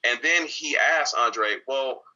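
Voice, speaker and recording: A man's voice: medium pitch, slow, bright, forceful tone, lo-fi sound. A man speaking as an interview guest in a candid conversation, reflective and earnest, often repeating words and restarting sentences. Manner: talks openly with frequent repeated words and restarts.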